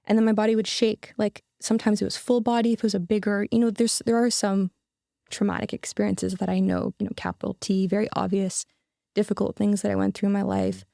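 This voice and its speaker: A woman's voice: mid-range voice, animated, quick pace, gravelly, dark tone, dry recording, studio quality. A young adult woman, a podcast guest, telling a personal story in an earnest, casual way with many fillers and restarts.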